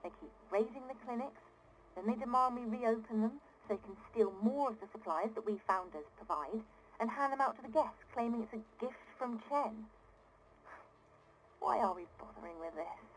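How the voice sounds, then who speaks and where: An actress playing an exasperated, earnest and privileged founder of an ocean city in a video game audio diary, speaking articulately and emphatically. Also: female voice, a little high, lively intonation, normal speed, a little hiss or hum, close to an everyday voice, low-quality recording. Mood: bitter, weary. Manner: indignant, articulate, emphatic, with weary sighs.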